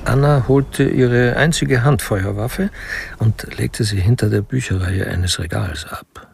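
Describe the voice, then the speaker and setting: An actor playing a wry storyteller, narrating a literary crime radio drama with deliberate pauses and a gravelly voice. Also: male voice, medium pitch, a little mellow, slight background noise. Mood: measured, wry.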